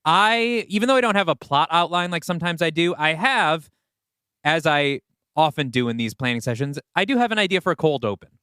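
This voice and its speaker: Clear-voiced man in casual podcast discussion. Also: a little high, expressive, brisk, sharp tone, no echo, clean studio sound. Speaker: podcast co-host. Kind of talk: casual story-planning discussion.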